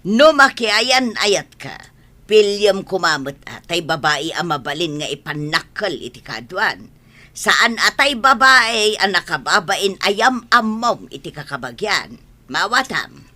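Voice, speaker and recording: A woman playing a nosy, outspoken village woman in an Ilocano radio comedy drama, probing and animated, with an Ilocano accent. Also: fairly low pitch, measured pace, exaggerated voice. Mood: strict, probing. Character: nosy, teasing, outspoken village woman, exacting about matches.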